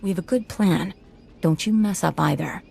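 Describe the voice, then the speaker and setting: A woman playing an edgy, nervy computer slicer in a video game, with quick, snippy delivery. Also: fairly low pitch, gravelly, darkish tone, breathy edge, exaggerated voice.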